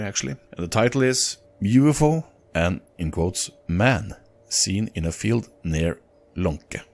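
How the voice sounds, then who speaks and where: A man with a Norwegian accent reading sighting reports aloud in an unhurried way, breaking off for casual, halting comments. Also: low voice. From Norway. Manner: unhurried, halting in asides, chuckles at own slips. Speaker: narrator of UFO reports.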